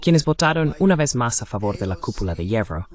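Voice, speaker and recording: Male panel commentator, average pitch, insistent and explanatory. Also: very animated, fairly quick. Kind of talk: political commentary at a panel discussion.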